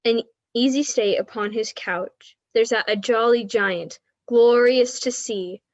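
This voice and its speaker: A woman's voice: a little high, slow, no room sound, lo-fi sound. A teenage girl reading scripted storybook narration for a radio play. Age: teens.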